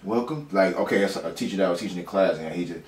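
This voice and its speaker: A young man with a raspy, nasal voice, rambling casually as he gives a rapper feedback in a small home studio. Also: deep voice, quick pace, a little hiss or hum. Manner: rambling, animated explaining with mock imitations. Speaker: producer giving feedback. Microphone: far camera. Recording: echoey room from far off.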